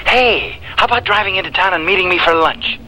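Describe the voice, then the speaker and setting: Eager, high-pitched, affectionate husband. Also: male voice, a little fast, pushed and bright, poor audio. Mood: affectionate, relieved. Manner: chummy, eager, coaxing. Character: affectionate, upbeat, coaxing husband. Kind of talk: vintage radio drama acting. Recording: hissy vintage radio broadcast recording.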